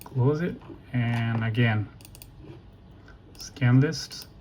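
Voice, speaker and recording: A man giving a casual, unscripted software walkthrough in a halting way, thinking aloud and correcting himself. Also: mid-range voice. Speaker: tutorial presenter. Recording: noisy room with keyboard typing. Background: computer keyboard clicks, ambient noise.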